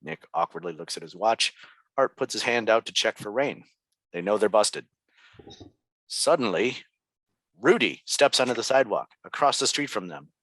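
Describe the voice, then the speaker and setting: A man's voice: fairly low pitch, animated, normal speed, pauses often, a bit bright, dry recording. A man reading stage directions as scripted narration for a table read.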